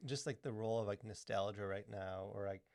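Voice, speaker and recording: A man's voice: lowish voice, fairly even intonation, brisk, darkish tone, no room sound, clean studio sound. A man co-hosting a podcast in casual discussion, rambling along with lots of "like" and "you know". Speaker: podcast co-host. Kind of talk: casual discussion of culture and faith.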